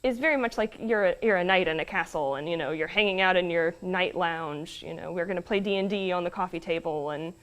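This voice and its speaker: A woman's voice: lowish voice, brisk, hardly any pauses, fairly bright tone. A young adult American woman chatting informally in an interview, peppering her talk with "like" and "you know". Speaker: family business member being interviewed. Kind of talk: casual interview chat.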